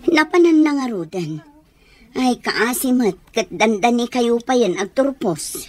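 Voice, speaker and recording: A woman's voice: on the high side, lively intonation, unhurried. An actress playing a concerned, sympathetic female teacher in an Ilocano radio drama, speaking in a lamenting way. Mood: worried, sympathetic.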